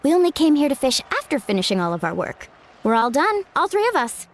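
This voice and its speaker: A woman's voice: high-pitched, sing-song, a little fast, noisy background. A woman voicing an easygoing, upbeat young woman in a video game. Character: cheerful easygoing young woman who loves the water.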